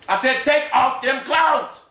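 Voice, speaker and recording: A man voicing an angry rural father in a storybook reading, talking harshly in rough dialect and often shouting. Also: a little high, lively intonation, normal speed, voice pushed up. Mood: commanding. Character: furious, heartbroken rural father, middle-aged man. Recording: echoey room, faint hiss.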